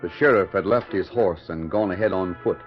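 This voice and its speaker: A man's voice: deep voice, fairly quick, over music. An American man narrating a 1940s radio western in a grand, theatrical scripted style. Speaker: announcer and narrator. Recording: muffled vintage 1940s radio recording.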